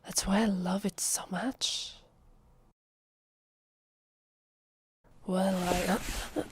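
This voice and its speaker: Secretive vampire girl, acted by a woman for ASMR roleplay: Italian accent, slow, husky whispery delivery, flustered and fragile. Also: mid-range voice. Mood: vulnerable, shy. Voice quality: soft, breathy, often whispery. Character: secretive female vampire, nervous, fragile and defensive. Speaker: voice actress.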